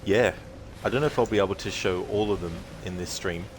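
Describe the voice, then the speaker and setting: A man's voice: low-pitched, a little fast, slight background noise. A man chatting casually to viewers as a game streamer on a livestream.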